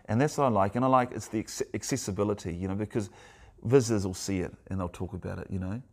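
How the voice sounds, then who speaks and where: Casting: very low-voiced New male guest, hesitant, chatty. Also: darkish tone. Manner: halting, repeats words, many fillers. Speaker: guest, author and artist. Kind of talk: casual chat.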